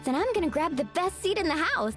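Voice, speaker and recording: A woman's voice: extremely high pitch, sing-song, fast, soft background music. A woman voicing a cheerful, openly affectionate young girl in a video game.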